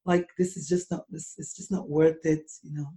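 Woman, middle-aged, podcast host, deep husky voice, low pitch, dark tone, casual interview. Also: little pitch movement, moderate pace. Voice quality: deep, husky from swollen vocal cords.

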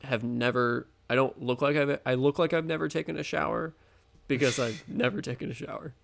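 Young man, American accent, creaky vocal fry, mid-pitched, podcast co-host, halting giggly delivery, improvised comic storytelling. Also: fairly even intonation, a bit bright. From American Midwest. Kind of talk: casual comedic improvised storytelling.

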